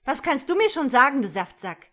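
A woman voicing a nagging, foul-mouthed woman, sharp and demanding, in an audiobook. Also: high voice, sing-song, measured pace, pitched above the performer's own voice, heavily acted. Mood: furious. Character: shrill, nagging, foul-mouthed woman squabbling with her lover.

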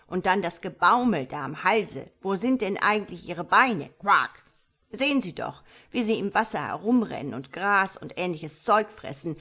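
Woman voicing an indignant old mother frog, very animated. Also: lowish voice, heavily acted. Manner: scolding, lamenting, punctuated with croaky quacks.

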